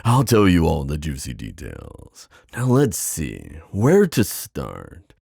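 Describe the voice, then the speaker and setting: A man's voice: rumbling low, wildly swooping pitch, creaky, darkish tone, slightly rough, no echo, clean studio sound, exaggerated voice. A man playing a flirtatious, confident giant sea god in an ASMR roleplay, teasing and theatrical in his delivery. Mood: teasing, amused. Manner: teasing, theatrical, drawn-out phrasing.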